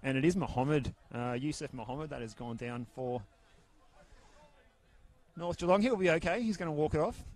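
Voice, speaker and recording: A man calling a football match outdoors at a ground, soft-spoken and steady, speaking close into a handheld mic. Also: a little high, moderate pace, pauses often. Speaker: football commentator. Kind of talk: live football commentary. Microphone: handheld mic held very close.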